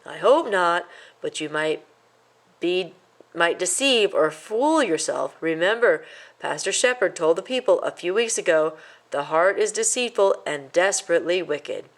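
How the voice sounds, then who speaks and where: A wise, watchful father mouse from a children's storybook, voiced by a woman. He speaks in a measured, admonishing way with lively rises and falls. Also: lowish voice.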